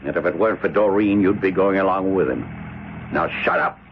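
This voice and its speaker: A gruff, low-voiced domineering rich patriarch. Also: male voice, a little fast, a little hiss or hum. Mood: threatening, hard. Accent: American. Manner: barking, bossy, blunt. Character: domineering, gruff rich old father, ruthless and brash. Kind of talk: old-time radio drama acting. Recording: muffled vintage radio broadcast recording.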